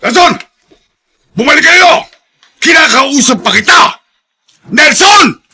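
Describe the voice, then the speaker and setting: A man's voice: very high voice, animated, takes it slowly, sharp tone, full character voice. A man acting an exasperated, fair-minded father with a Filipino accent in a radio drama. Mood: stern, angry. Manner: stern, emphatic. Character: exasperated, fair-minded father scolding his unruly son.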